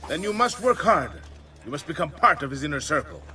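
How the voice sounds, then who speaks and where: An actor playing a cold, mocking conspirator in a video game, sarcastic and commanding. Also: male voice, on the high side, sing-song, fast, bright, forceful tone, full character voice. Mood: sneering. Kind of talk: video game cutscene acting.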